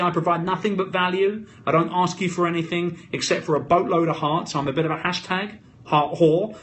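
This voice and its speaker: A man's voice: a little high, fairly bright tone. A man with a London British accent live-streaming off the cuff, talking emphatically in short, punchy, motivational bursts. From London, England. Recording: noisy live phone stream.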